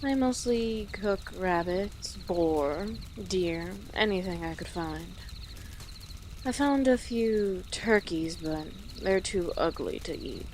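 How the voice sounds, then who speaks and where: A woman playing an easygoing, friendly female orc travel guide in an audio roleplay, speaking gently and conversationally to the listener with pauses. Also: fairly low pitch, animated, a little hiss or hum. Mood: fond, chatty. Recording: outdoor nature ambience with birdsong behind. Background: birds and rural outdoor ambience.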